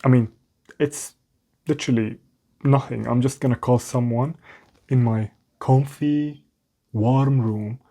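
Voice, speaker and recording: A young man speaks English with a clear foreign accent in a mellow, relaxed voice. He rambles candidly to the camera and pokes fun at himself. It is a casual vlog from a small room at home. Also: mid-range voice, slow pace, pauses often. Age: young adult. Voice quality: non-native English accent. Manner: rambling, self-mocking, restarts often. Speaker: vlogger.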